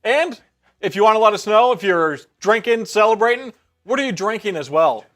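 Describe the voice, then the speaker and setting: Man, fairly high voice, upbeat, reading viewer shout-outs, casual stream co-host. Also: animated, normal speed. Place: sports media studio.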